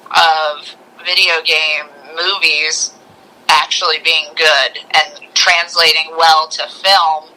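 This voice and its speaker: A woman co-hosting a podcast, chatting informally about movies with halting starts and bits of mimicked dialogue. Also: low voice, sharp tone, poor audio. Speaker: podcast co-host. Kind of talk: casual movie review chat.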